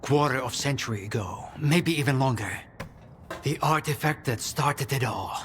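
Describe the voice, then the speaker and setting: An actor playing a composed, calculating and condescending scientist in a video game cutscene. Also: male voice, medium pitch, moderate pace, a bit bright, slight background noise, close to an everyday voice. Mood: awed, deliberate.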